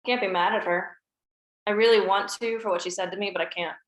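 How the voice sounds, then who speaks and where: A woman reading a guarded, sharp-tongued young woman in a dry, matter-of-fact way at a script table read. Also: mid-range voice, steady tone, quick pace, lightly acted. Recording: echoey room.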